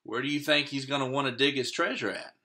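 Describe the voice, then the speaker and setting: A man's voice: medium pitch, steady tone, fast, sharp tone. A man reading a picture book aloud expressively as a teacher, stopping to put questions to young listeners.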